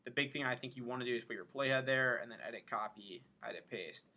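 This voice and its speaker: A man giving an informal software tutorial, thinking aloud as he demonstrates each step. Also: medium pitch, fast talker. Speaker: animation instructor. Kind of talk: casual screen-recorded tutorial narration.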